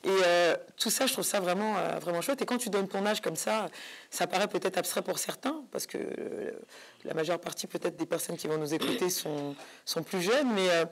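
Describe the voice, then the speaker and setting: A woman's voice: lowish voice, animated, moderate pace. Hesitant woman hosting a talk show. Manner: hesitant, searching for words, repeating phrases. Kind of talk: conversational interviewing.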